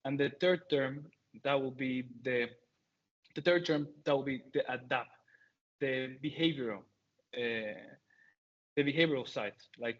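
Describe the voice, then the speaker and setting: A man's voice: medium pitch, muffled recording. A man in his thirties from Venezuela, speaking English with a Spanish accent and many fillers and restarts, giving a talk over a video call. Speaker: guest speaker. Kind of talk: online talk.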